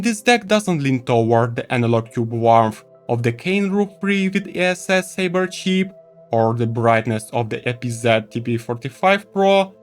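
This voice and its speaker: Lively man reviewing gear over faint music. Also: mid-range voice. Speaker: product reviewer. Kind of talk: semi-scripted video review narration.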